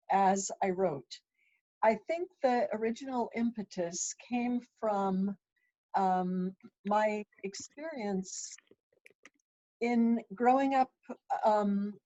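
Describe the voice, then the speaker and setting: A woman in her sixties who grew up in Ethiopia, answering interview questions thoughtfully with frequent pauses and restarts. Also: on the high side, warm tone. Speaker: author and interviewee. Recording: dull lo-fi sound.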